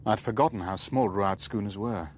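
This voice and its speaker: Radio thriller lead, played by a man: middle-aged businessman, lowish voice, careful and guarded, some background noise. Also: moderate pace, lightly acted. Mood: reflective narration. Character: guarded middle-aged businessman, narrating his own spy story. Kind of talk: radio drama dialogue and first-person narration.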